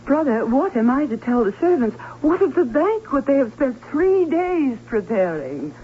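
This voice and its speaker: An actress playing a fretful, high-strung aunt, fluttery and overwrought, in an old-time radio drama. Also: female voice, big pitch swings, normal speed. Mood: indignant, distressed. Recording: muffled, noisy old radio recording.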